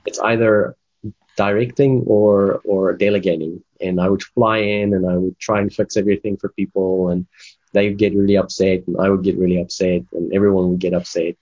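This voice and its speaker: A deep-voiced, husky man whose first language is Afrikaans tells his story at length, with plenty of ums and restarts. The recording sounds dull and lo-fi. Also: flat delivery, normal speed, darkish tone. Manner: rambling storyteller with many fillers. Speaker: guest, game development manager and coach. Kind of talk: casual interview, personal story.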